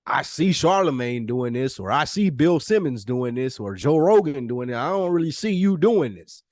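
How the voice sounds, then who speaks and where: A man's voice: mid-range voice, animated, normal speed, darkish tone, dry recording, clean studio sound. A man hosting a podcast, speaking candidly and informally, with slang and swearing, in casual conversation. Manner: informal slangy talk with profanity. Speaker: podcast host.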